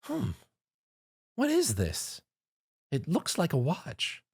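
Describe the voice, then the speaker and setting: A man voicing a timid, gentle female pegasus pony who speaks softly and shyly. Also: a little high, wildly swooping pitch, slow pace, breathy edge, voice pushed up, heavily acted. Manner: soft, meek and shy.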